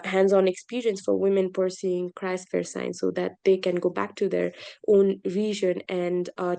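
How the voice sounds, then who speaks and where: A woman's voice: lowish voice, vocal fry, warm tone. A young woman from Nepal, a glacier researcher, presenting her work on a video call with many hesitant fillers. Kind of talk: presenting over slides in a webinar.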